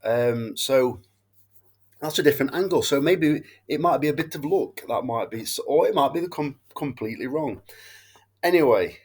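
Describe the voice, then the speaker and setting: A man's voice: medium pitch, expressive, normal speed, breathy edge. A man, stuffed up with a cold, rambling through his horse racing tips in a casual monologue and often correcting himself. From England. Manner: rambling, self-correcting, loses his thread. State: bunged up with a cold and hangover. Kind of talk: casual tipping monologue to camera.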